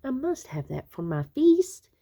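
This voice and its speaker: A woman's voice: high-pitched, sing-song, unhurried, dark tone, pitched above the performer's own voice, full character voice. A woman voicing a small, eager, greedy male mouse from a storybook, with a gleeful, self-satisfied manner.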